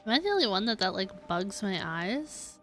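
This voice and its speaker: A woman's voice: mid-range voice, sing-song, moderate pace, fairly bright tone. A woman game streamer giving casual, chatty live commentary as she plays. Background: faint game music.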